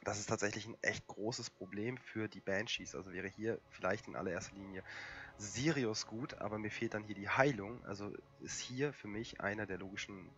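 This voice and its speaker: A young man with a cold, hoarse and coughing, casually commentating a strategy game with lots of fillers. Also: on the low side, normal speed, muffled recording. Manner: relaxed and rambling, many fillers. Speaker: gaming channel host.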